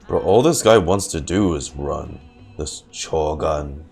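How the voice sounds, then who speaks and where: A man's voice: a bass voice, slow, creaky, darkish tone, soft background music. A man streaming a game with casual, laid-back, teasing reaction commentary.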